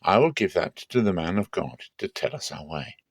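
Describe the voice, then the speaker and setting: A man's voice: sits low, big pitch swings, moderate pace, breathy edge, no room sound, studio quality, full character voice. A man voicing a helpful, respectful servant who advises deferentially in a scripture reading.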